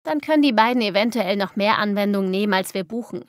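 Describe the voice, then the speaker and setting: A woman playing a sensible, caring young student in a scripted language-learning dialogue, with clear, tidy diction. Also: mid-range voice, quick pace, no room sound, clean studio sound, lightly acted. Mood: approving, thoughtful. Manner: clear, tidy, textbook delivery.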